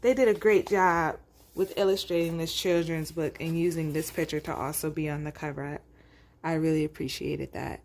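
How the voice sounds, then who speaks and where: A woman's voice: low-pitched, steady tone, moderate pace, darkish tone. A woman reading aloud as a children's storytime host, with chatty asides spoken straight to young listeners. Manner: addresses young listeners directly, conversational.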